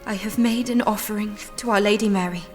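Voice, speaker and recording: A woman's voice: medium pitch, fairly even intonation, a little fast, soft background music, lightly acted. An actress playing a fervent, determined young female holy warrior in an audio drama, with an impassioned delivery. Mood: subdued, troubled.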